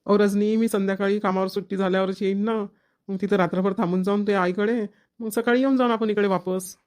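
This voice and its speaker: An actor playing a devoted, earnest young newlywed husband in a cartoon family comedy, with a Varhadi Marathi accent from Vidarbha. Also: male voice, high voice, fairly even intonation, fast talker, darkish tone, clean tone, close to an everyday voice. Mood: reassuring.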